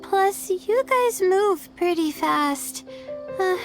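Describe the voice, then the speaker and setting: A woman voicing a soft, timid, easily tired young girl in a video game, hesitant and self-effacing. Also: very high voice, lively intonation, very slow, full character voice. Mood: apologetic. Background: game music.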